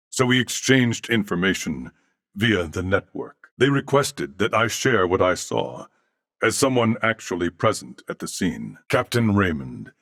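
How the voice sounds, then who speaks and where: An actor voicing a calm, courteous cybernetic alien in a video game, with a deep, gravelly voice and formal, measured, precise diction. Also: male voice, dry recording, clean studio sound, heavily acted. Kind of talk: dramatic video game voice acting.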